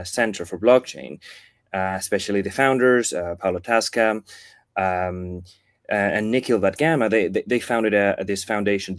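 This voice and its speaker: A man in his thirties with an Argentine accent, speaking as an interview guest, thoughtful and pausing to restart his sentences. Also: on the low side, no room sound, clean studio sound. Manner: thoughtful, with frequent restarts and fillers. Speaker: guest, foundation chief of staff. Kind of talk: casual interview talk.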